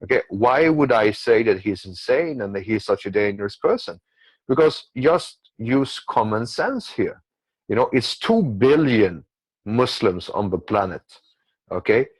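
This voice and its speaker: Male commentator, non-native English speaker, lowish pitch, dry close sound, circling and repetitive delivery. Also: animated, unhurried, breathy edge, no room sound, poor audio. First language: not English. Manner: rambling, repetitive, with frequent check-in tags. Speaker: guest commentator. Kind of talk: unscripted political commentary.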